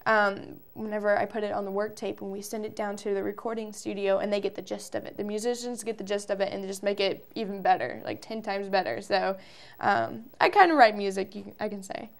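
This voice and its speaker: Casting: young American woman, early twenties, smooth clear voice, chatty informal interview guest. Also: medium pitch, brisk. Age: early 20s. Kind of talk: casual interview answers.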